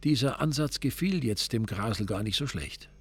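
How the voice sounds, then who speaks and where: A man's voice: medium pitch, expressive, normal speed, husky. A man narrating a crime radio play in a dry, ironic manner.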